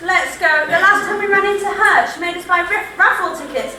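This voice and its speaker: A brisk, gossipy villager played by a woman delivers pantomime lines in a very high voice. It sounds far from the mic in an echoey hall with an audience. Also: a crowd in the background. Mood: urgent, wry. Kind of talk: broad pantomime stage acting. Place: theatre stage.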